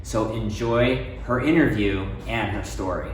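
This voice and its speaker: A man's voice: fairly low pitch, a little slow. A man hosting a casual interview, introducing his guest and asking simple questions. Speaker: interviewer, doctor. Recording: echoey room, distant mic, faint noise.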